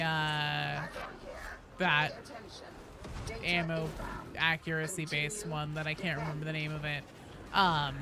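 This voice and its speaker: A woman game streamer chatting casually and playfully with her live viewers in an informal way. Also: low-pitched. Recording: clear background noise behind voice.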